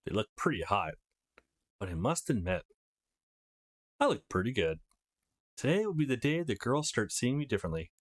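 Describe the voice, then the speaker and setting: A man reading the part of a cocky but easygoing eighteen-year-old schoolboy in a visual novel, conversational and self-assured. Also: mid-range voice.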